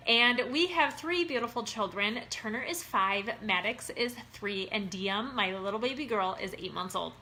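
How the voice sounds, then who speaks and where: A woman's voice: mid-range voice, lively intonation, normal speed, hardly any pauses, bright, forceful tone, a little hiss or hum, rough recording. A woman in her thirties from Minnesota with an Upper Midwest accent, an online wellness coach talking casually to her viewers in a chatty, upbeat way. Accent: Upper Midwest American. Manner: chatty and upbeat, keeps saying "you guys".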